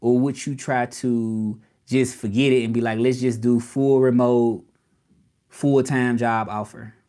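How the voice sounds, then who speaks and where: A man with a Southern drawl from Memphis talks casually to a live stream audience. He rambles, repeats himself and keeps turning questions back to the viewers at a mid-range pitch. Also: fairly even intonation, measured pace, mellow, clean tone. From Memphis, Tennessee, US South. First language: English.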